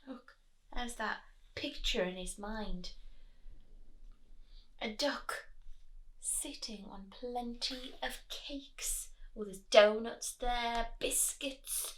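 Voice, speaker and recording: A woman reading a picture book aloud to young children, expressively, sometimes dropping low and asking the listener questions. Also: drawn-out words, long pauses, low-quality recording. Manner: expressive, drops to whispers, asks the listener questions. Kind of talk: animated read-aloud with chatty asides to young children.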